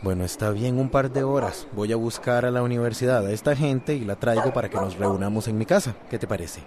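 A man's voice: mid-range voice, a little fast, a crowd in the background, lightly acted. A man with a Costa Rican accent playing a cheeky, coaxing, good-humoured friend in a radio drama. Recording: noisy, dog sounds behind.

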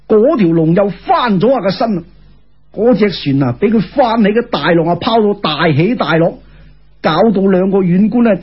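A man telling a folk tale in Cantonese in a theatrical, colloquial storytelling style with exclamations and drawn-out particles, on a lo-fi recording. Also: high-pitched, breathy edge.

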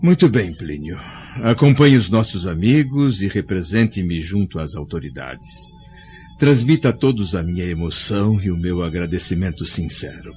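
An actor playing an old, blind, tender Roman senator in a radio drama, speaking slowly and with feeling. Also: male voice, low voice, expressive, darkish tone, faint music behind. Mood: fatherly. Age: older. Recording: dull lo-fi audio with faint score.

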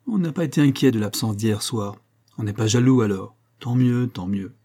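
A man's voice: medium pitch, normal speed, mellow, close to an everyday voice. A man voicing a cruel, mocking husband in a dramatic audiobook, sneering and coldly domineering. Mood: smug irony. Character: cruel, mocking estranged husband, menacing and smug.

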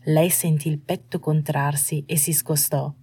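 Low, husky woman narrating fiction. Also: flat delivery, unhurried, dark tone. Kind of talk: scripted audiobook narration of fiction.

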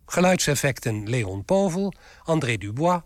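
Male announcer, mid pitch, animated intonation, formal, scripted credits reading, dry studio sound. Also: unhurried, dry recording.

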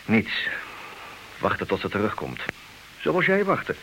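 Anxious, wry husband for vintage radio play; lively melodic range, theatrical, slight tape hiss. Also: male voice, fairly low pitch, a little slow, full character voice. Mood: bitter resignation. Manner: theatrical, wry, swinging between agitated and resigned. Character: resigned, wry betrayed husband, anxious and talkative. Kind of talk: Dutch radio play acting, comic drama. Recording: hissy old radio play recording.

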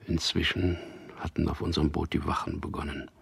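An actor playing a tormented, confused captured resistance fighter in a radio drama, hushed, halting and intense. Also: male voice, little pitch movement, full character voice. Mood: tense, hushed recollection. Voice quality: very low, breathy, gravelly whispery voice. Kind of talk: radio drama acting with first-person narration.

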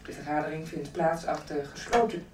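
An actress plays a sober radio newsreader with a low voice, reading a formal bulletin. The sound is echoey and slightly distant, with clear clattering noise around it. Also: female voice, a little fast, darkish tone, natural voice. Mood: neutral, official. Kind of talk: formal scripted news bulletin.

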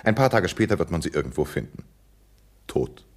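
A flippant young dandy speaks with airy, mocking ease in a radio play. His voice is very low and husky, with lively swings in pitch. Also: fairly quick, exaggerated voice. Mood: coolly sinister. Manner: airy, flippant, coolly sinister. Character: idle, dandyish young man, flippant and sly.

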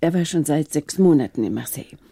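A woman's voice: low-pitched, lively intonation, quick pace, gravelly, mellow, dry recording, clean studio sound, full character voice. An actress playing a weary, reserved bereaved mother in a 1960s German radio crime drama, speaking hesitantly. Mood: subdued, sad. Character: weary, sorrowful bereaved mother, reserved middle-aged lady.

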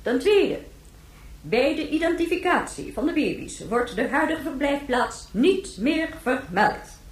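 An actress playing a formal planetary president in a radio drama, reading an official address in a stately, measured manner. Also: female voice, high voice, lively intonation. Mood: solemn, official. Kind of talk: formal government broadcast speech in radio drama. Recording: echoey, roomy sound.

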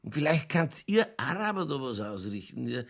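A man playing a boozy, world-weary middle-aged police chief in a radio play, with a Lower Bavarian accent and a rambling, tipsy, cynically jovial delivery. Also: very animated, moderate pace, vocal fry. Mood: tipsy, opinionated. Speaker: actor in a crime radio play. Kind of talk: naturalistic German radio drama dialogue.

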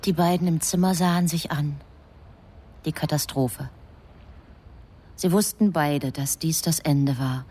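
An actress playing the composed, knowing narrator of a literary radio drama, speaking in a measured, deliberate way. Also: female voice, sits low, dark tone, husky.

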